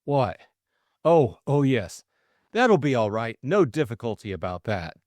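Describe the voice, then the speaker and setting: A man's voice: mid-range voice, very animated, a little slow, darkish tone, no echo. A man voicing a flustered, lovestruck young Englishman, stammering and eager. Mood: overwhelmed.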